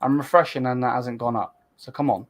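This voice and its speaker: A man's voice: medium pitch, steady tone, brisk. A man, hosting a casual late-night livestream chat, laid-back and swearing casually as he urges viewers to subscribe. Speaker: channel host.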